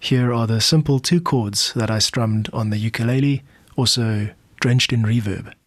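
A South African man hosting a podcast, explaining in a measured way like a music producer walking through his work. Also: medium pitch, noisy background.

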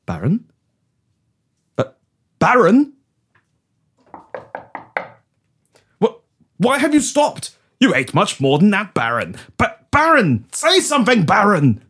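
A man's voice: medium pitch, lively intonation, normal speed, voice pushed down, lightly acted. Man acting a pompous British Prime Minister. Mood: alarmed. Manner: pompous, florid, theatrical. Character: pompous, melodramatic male British Prime Minister narrating his ordeal. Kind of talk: mock-serious comic audio drama.

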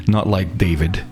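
A man's voice: fairly low pitch, darkish tone. A man running an online tabletop game as game master, narrating casually.

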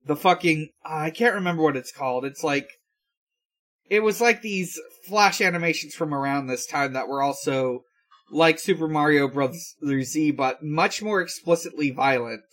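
A man's voice: on the high side, moderate pace, a bit bright. A man in his twenties in casual podcast chat, hesitant and thinking aloud as he goes. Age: 20s. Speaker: podcast co-host.

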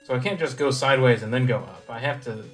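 A young man co-hosting a podcast, talking about a game in a relaxed, thoughtful way with wry humor. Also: medium pitch, fast. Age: young adult. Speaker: podcast co-host. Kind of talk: casual game discussion.

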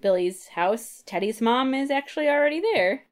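A woman's voice: sits high, expressive, moderate pace. A woman co-hosting a podcast, recapping a comic book in casual, conversational talk. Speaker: podcast co-host.

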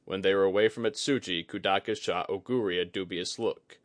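A man's voice: deep voice, brisk, dry recording. A man narrating a fantasy story as a dramatic, scripted audiobook reading.

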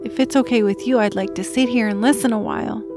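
A woman playing a sick, trusting little girl in an audio drama, soft, polite and hesitant in manner. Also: on the high side, steady tone, fast talker, music in the background, lightly acted. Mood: gentle, sad.

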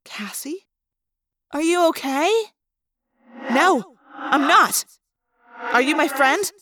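A woman's voice: extremely high pitch, big pitch swings, slow, dry recording, voice pushed up, exaggerated voice. A woman voicing a frightened, confused and fierce teenage girl in an audiobook, urgent and demanding.

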